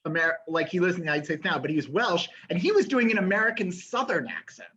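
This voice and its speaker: Lively bookseller woman, lo-fi call audio. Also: fairly low pitch, fast, background noise. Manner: lively, laughing asides. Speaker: bookseller host. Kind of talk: casual event conversation. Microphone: video call.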